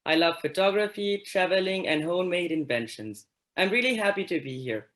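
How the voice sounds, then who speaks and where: High-voiced teenage boy introducing himself. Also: lively intonation, brisk, fairly bright tone. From Réunion. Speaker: panelist. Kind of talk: self-introduction on a video call.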